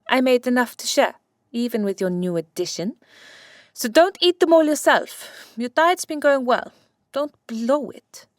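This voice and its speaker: A woman's voice: sits high, a little slow, no room sound, clean studio sound. A woman playing Santa's centuries-old wife, a practical, exasperated but caring inventor, matter-of-fact and bossy in an audiobook.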